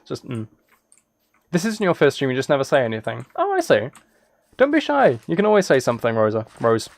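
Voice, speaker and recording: A British man talks casually as he streams a game. His pitch sits in the middle, and he rambles along with self-deprecating, apologetic asides. The recording is very dry and close, with no room sound. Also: huge pitch swings, a little fast, gravelly, dry recording. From United Kingdom. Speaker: live streamer playing a video game. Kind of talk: casual livestream chat while gaming.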